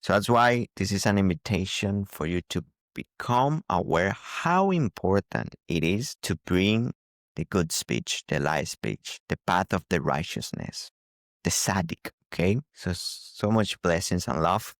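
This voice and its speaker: Very deep-voiced man giving spiritual teaching. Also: expressive, unhurried, dry recording, studio quality. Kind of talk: spiritual teaching talk to camera.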